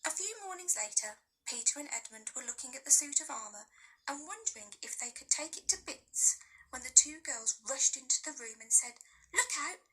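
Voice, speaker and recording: A woman teacher reading a children's novel aloud with gentle, expressive storytelling. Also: high-pitched, normal speed, breathy edge, poor audio.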